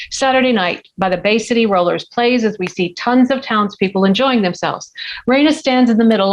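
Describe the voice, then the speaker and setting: Female narrator, medium pitch, scripted scene description, table read. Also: rough recording. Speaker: stage-direction reader.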